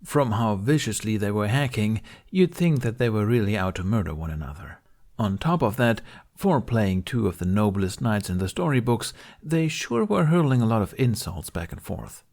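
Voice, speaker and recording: A man's voice: fairly low pitch, expressive, normal speed, a little mellow. A man reading scripted fantasy fiction aloud as a narrator. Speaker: fan fiction reader.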